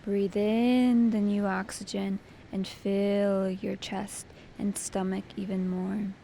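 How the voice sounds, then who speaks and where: A woman leading a guided meditation with gentle, soothing, unhurried instruction. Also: mid-range voice, level tone, gravelly, a little hiss or hum.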